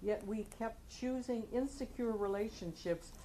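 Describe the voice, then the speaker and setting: A woman from the audience of a meeting, reading a passage aloud at a steady, even pace. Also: fairly high pitch, unhurried, a little hiss or hum, rough recording.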